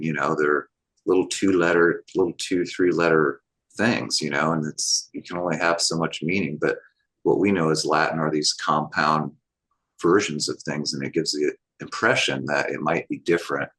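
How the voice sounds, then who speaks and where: A man's voice: a bass voice, a bit bright, husky. A man sharing in a group call, talking in a loose, rambling way with many asides. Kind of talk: casual chat.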